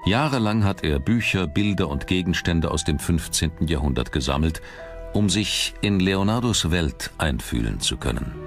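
A man's voice: low voice, lively intonation, normal speed. A man reading scripted narration for a documentary as its narrator. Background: background music.

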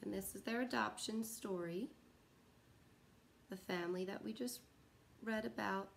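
A woman's voice: medium pitch, measured pace, vocal fry, a little hiss or hum. A woman in her thirties reading a picture book aloud to young children in a sing-song way, recorded at home. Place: living room at home, indoors.

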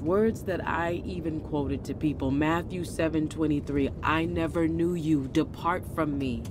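An actress playing an earnest, troubled middle-aged pastor's wife in a confessional manner for an audio drama. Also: female voice, sits low, steady tone, a little slow, noisy background. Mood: confessional, anguished.

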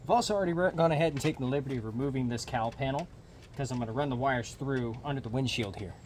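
A man's voice: mid-range voice, very fast, darkish tone. A young American man giving instructional how-to narration as host of a DIY car channel. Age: young adult. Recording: clear background noise, clicks and jangling.

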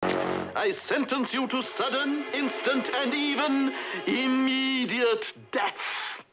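A man playing a pompous tyrant in an animated film, declaiming imperiously and drawing out the words. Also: extremely high pitch, slow pace, creaky, over music, exaggerated voice. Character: pompous, tyrannical villain passing sentence. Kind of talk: animated film villain acting.

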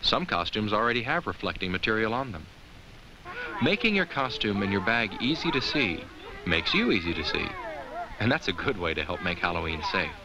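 Friendly low-voiced talking pumpkin. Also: male voice, sing-song, moderate pace, highs cut off. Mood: earnest. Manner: earnest, reassuring, simply explaining to children. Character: friendly talking Halloween jack-o'-lantern, kindly and earnest. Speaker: narrator. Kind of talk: scripted children's educational film narration.

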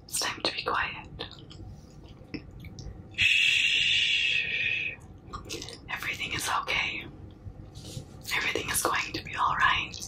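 A young woman whispering very close to the mic in a breathy, soothing, repetitive ASMR comforting roleplay, with soft shushing. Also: slow, long pauses, low-quality recording. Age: young adult. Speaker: ASMR performer. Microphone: very close to the mouth.

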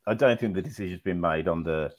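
Deep-voiced man rambling on a video call. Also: quick pace. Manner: rambling, repeats words, many "you know"s. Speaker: podcast panelist. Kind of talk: casual fan podcast chat. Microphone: video call with earphones.

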